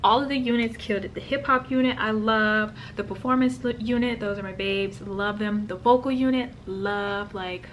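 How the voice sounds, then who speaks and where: A young woman vlogger with a hoarse, raspy voice after a concert, chatting to camera in a gushing, chatty way full of "like" and "literally". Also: mid-range voice, steady tone, normal speed, few pauses. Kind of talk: casual vlog chat to camera. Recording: clear background ambience noise.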